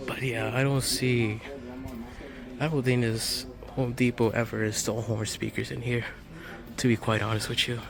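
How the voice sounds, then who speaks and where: A man's voice: mid-range voice, little pitch movement, normal speed, music in the background. A man giving casual walkthrough commentary as a vlogger on a handheld recording inside a large warehouse store. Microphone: handheld phone or camera. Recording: clear store ambient noise.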